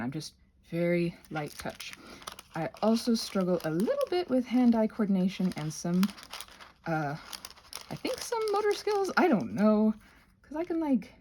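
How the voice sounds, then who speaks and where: A woman's voice: mid-range voice, creaky, warm tone, slight background noise. A woman casually hosting a craft demonstration, talking in a hesitant, meandering way with self-deprecating asides. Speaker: craft channel host.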